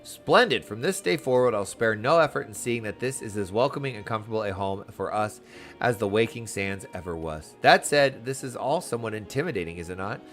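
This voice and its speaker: A man's voice: fairly low pitch, lively intonation, brisk, fairly bright tone, soft background music. A man voicing an eager, anxious female receptionist from a fantasy game, with formal, flowery and effusive speech. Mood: anxious, polite.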